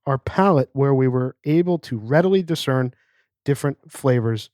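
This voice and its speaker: A man's voice: mid-range voice, animated, normal speed, darkish tone, dry recording, clean studio sound. A man hosting a casual podcast, reading listener letters aloud in a joking way. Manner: reads letters aloud, jokey. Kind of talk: casual chat. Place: cigar lounge.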